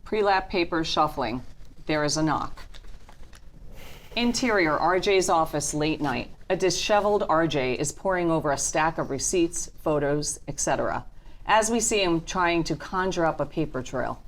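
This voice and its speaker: A woman's voice: low-pitched, animated, normal speed. A woman narrator reading screenplay stage directions as scripted narration at a table reading.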